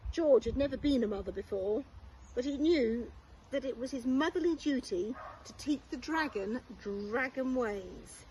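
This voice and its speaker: A woman with a fairly high voice reads a bedtime story for young children. Her delivery is expressive and very unhurried, with deliberate pauses between phrases. There is some light noise in the amateur recording. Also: rough recording. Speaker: primary school teacher reading a bedtime story.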